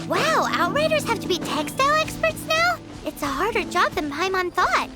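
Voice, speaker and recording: A woman voicing a tiny, excitable, childlike fairy companion in a video game, squeaky and exclamatory. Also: normal speed. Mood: surprised, puzzled. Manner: exclamatory, speaks of herself in third person. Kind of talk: animated video game dialogue. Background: game music.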